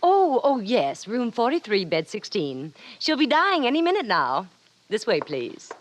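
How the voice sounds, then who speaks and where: A hospital attendant in a 1950s radio play. She is brisk and breezily callous, with a fairly high, lively voice. Faint noise sits on the vintage recording. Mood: brisk, cheerful. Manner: matter-of-fact, officious. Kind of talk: 1950s radio drama acting.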